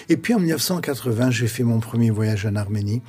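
Earnest older male pastor, husky voice. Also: medium pitch, lively intonation, a little mellow. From France. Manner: earnest, reflective, preacherly pauses. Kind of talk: radio interview, testimony.

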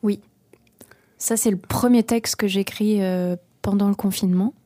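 A woman's voice: medium pitch, steady tone, measured pace, dark tone, no echo, studio quality. A young woman from the Paris region, a singer-songwriter answering interview questions, talking hesitantly with many fillers and restarts. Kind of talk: radio interview.

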